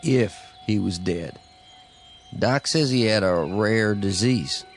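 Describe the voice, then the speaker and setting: An actor playing an easygoing, curious small-town man in a radio drama, with a gravelly, folksy rural American voice. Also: fairly low pitch, takes it slowly, soft background music. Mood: puzzled. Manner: folksy, musing.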